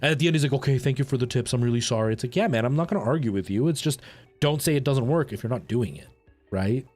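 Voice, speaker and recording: A man hosting a livestream, chatting casually and colloquially with his viewers in a rambling, animated way. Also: mid-range voice, fast talker, soft background music. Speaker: live streamer and host.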